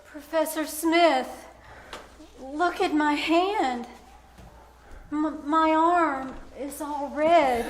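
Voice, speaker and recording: A woman's voice: high-pitched, extremely slow, long pauses. A woman playing a frightened, dying nineteen-year-old student in a radio thriller, faltering and dramatic. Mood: terrified, fading. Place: live staged performance at a winery. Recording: echoey, faintly noisy live stage.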